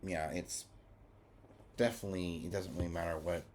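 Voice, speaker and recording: Low-voiced man, casual review, noisy background. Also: steady tone, normal speed, darkish tone. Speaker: vlogger reviewing music formats. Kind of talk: casual rambling review talk.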